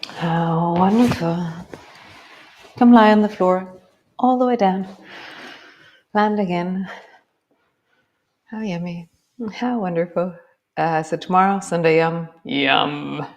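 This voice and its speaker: A middle-aged woman, gently guiding a yoga class with a slightly stuffy nose. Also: on the low side, highs cut off. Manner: gentle, encouraging, drawn-out cues. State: stuffy nose from a coming cold. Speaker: yoga teacher. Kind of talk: guided yoga class instruction.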